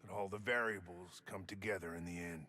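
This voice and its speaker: Gruff-tempered company man from a space western game, sharp forceful tone, loyal and wary. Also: deep voice, fairly even intonation, brisk, a little hiss or hum. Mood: wary, reflective. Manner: folksy, forceful. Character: angry, wary frontier company foreman, man, stubborn and loyal. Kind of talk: dramatic video game dialogue.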